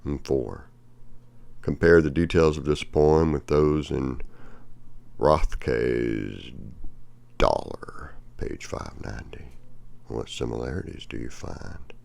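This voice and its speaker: An older man reading aloud from a book with brief asides, in a deliberate delivery that swings from emphatic to hushed. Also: rumbling low, vocal fry. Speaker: reader of a poetry textbook.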